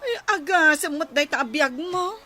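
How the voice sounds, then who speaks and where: A woman's voice: sits high, animated, normal speed, sharp tone. A woman playing a harried, exasperated village housewife in a comedy radio drama, exclaiming and complaining as she talks.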